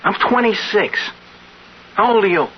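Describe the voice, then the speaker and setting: Eager, insistent young hustler, high voice with big swings, radio play, muffled vintage sound. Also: male voice, animated, moderate pace, breathy edge. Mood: urgent, persuasive. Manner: urgent, insistent. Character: eager, pushy twenty-six-year-old man striking strange bargains. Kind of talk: 1970s radio drama acting.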